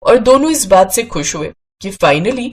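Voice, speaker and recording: A woman's voice: mid-range voice, animated, unhurried, slightly rough. A woman narrator reading a scripted story in a storytelling style.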